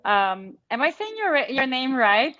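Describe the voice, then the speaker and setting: A woman's voice: high voice, very animated, unhurried, pushed and bright, no echo, dull audio. A woman with a Brazilian accent, an international model speaking as a panelist in a casual video call discussion, chatty and enthusiastic with many fillers.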